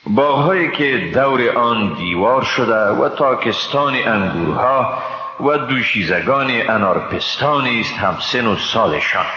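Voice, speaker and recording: Bright, reverberant Afghan Dari man reading scripture. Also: mid-range voice, animated. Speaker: translation reader. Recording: echoey, long reverb, dull and noisy.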